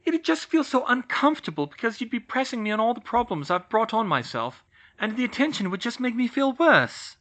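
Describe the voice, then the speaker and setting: Brief: an overworked young witch, loud, high and strained, swinging wildly in pitch. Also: very animated, brisk. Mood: distraught. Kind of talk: audiobook reading of fan fiction. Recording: slightly muffled recording.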